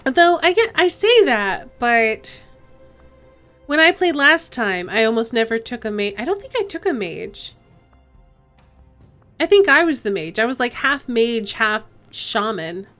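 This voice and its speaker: A woman's voice: fairly high pitch, very animated, a little slow, fairly bright tone, faint music behind. Chatty woman streaming a game. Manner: chatty, giggly, reads game text aloud. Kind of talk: casual game-stream chat and text reading.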